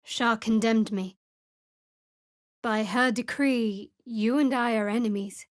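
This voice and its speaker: A young woman cleric of a dark goddess, in a slightly high, closely recorded dry sound. She is guarded and sardonic, delivering everything with a dry, wry edge. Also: steady tone, slow pace, dry recording. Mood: grave, defiant. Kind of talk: video game character voice acting.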